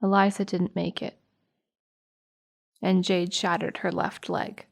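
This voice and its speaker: A young American woman playing a sympathetic, earnest high school girl recalling a friend in a naturalistic audio drama. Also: little pitch movement, slow, vocal fry. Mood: somber, earnest.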